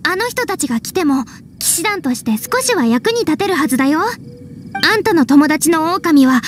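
An actress playing an earnest, spirited young female knight in an anime game, brisk and frank. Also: sits high, sing-song, faint music behind. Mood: resolute.

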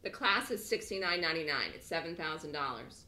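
A woman with a lowish but bright, forward voice runs a webinar from her home office. She sounds slightly hoarse, as if just getting over a cold. Her talk is chatty and repetitive, and she pitches her course with frequent "okay?" checks to her listeners. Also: expressive, a little fast, low-quality recording. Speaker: trading course instructor.

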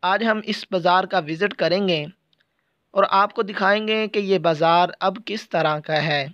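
Slow-talking man with a high, smooth voice. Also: a bit bright, dry recording, dull audio. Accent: Pakistani Urdu. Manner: slow, measured guide-like explaining. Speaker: travel vlogger. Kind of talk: walking tour narration. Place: small shops in a bazaar, indoors and out.

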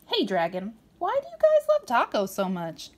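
Female storybook reader, animated and playful, swooping intonation, speaking to a small child. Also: fairly high pitch, normal speed. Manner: playful, expressive, with dramatic emphasis. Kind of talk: animated read-aloud to a young child.

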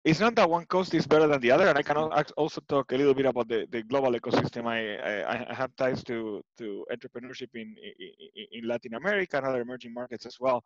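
A man in his forties with an Argentine accent, a native Spanish speaker, answering interview questions in a halting, searching way over a headset on a video call. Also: mid-range voice, dry recording. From Buenos Aires, Argentina. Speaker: interview guest, tech executive. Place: living room at home, indoors. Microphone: headset with boom mic, video call. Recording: slightly muffled video-call audio.

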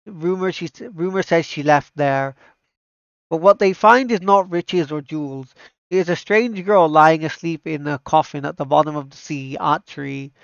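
A man's voice: on the high side, normal speed, vocal fry, dry recording, dull audio. A man doing casual livestream commentary as an anime fan. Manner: enthusiastic, repeats himself. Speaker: streamer reacting to anime trailers.

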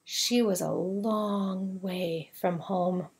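A woman's voice: mid-range voice, steady tone, very slow, husky. A woman narrating a children's storybook, reading aloud expressively.

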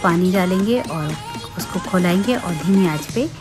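Woman calmly explaining a recipe. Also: fairly low pitch, normal speed, over music. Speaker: cooking vlogger. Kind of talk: cooking voice-over.

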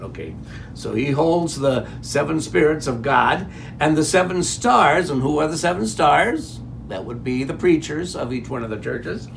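Gravelly older pastor preaching. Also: male voice, on the high side, slight background noise, low-quality recording. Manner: animated, gesturing with raised hands; folksy, stops to ask "okay?". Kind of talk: sermon. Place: home room before a decorated fireplace.

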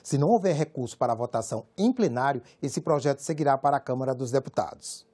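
Male anchor, studio newsreader, husky, dark tone, formal scripted delivery. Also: mid-range voice, expressive, fast talker. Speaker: news anchor. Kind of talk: scripted news reading.

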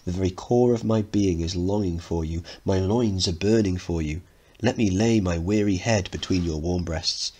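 A man's voice: deep voice, moderate pace, warm tone, husky. A man voicing a posh, naive, eager teenage boy who speaks politely and earnestly in a dramatic play reading. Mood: eager, confident. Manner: polite, earnest, well-spoken.

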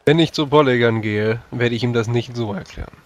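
A man's voice: mid-range voice, a little fast. A man playing a character in a tabletop role-playing game, with a dry, sceptical way of talking. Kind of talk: in-character improvised dialogue.

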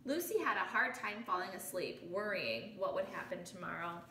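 A woman in her thirties, a teacher reading a children's picture book aloud, expressive and pausing to explain things to children. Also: lowish voice. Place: small living room, indoors. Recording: roomy home recording with background noise.

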